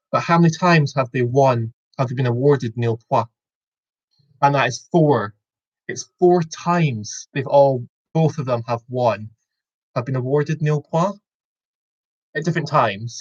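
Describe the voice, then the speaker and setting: A man's voice: mid-range voice, highs cut off. A young Scottish man in his twenties hosting a casual online quiz over a video call, rambling and correcting himself with a joking tone. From Scotland. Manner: rambling, self-correcting, jokey. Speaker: quiz host.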